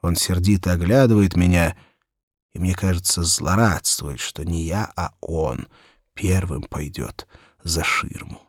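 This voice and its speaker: Low, husky, unhurried male storyteller. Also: no echo, studio quality. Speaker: audiobook narrator. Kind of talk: expressive literary storytelling for children, scripted first-person narration.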